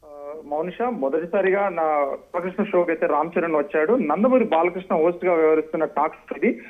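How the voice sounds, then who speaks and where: A man's voice: fairly high pitch, brisk. A man giving a wordy, unscripted live news report as a reporter over a phone call. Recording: tinny narrow phone line.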